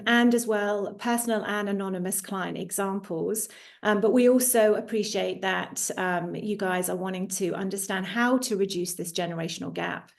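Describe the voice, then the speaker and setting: A British-accented woman in her forties speaking as an executive coach in an online masterclass, often pausing on filler sounds. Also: medium pitch, gravelly. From United Kingdom. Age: 40s. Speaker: executive coach and guest presenter. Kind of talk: introducing herself in a live online masterclass. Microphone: video call.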